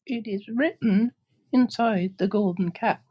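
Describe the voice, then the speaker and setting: A woman voicing a tiny, kindly mouse queen in a squeaky little voice. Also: measured pace, slightly rough, muffled recording.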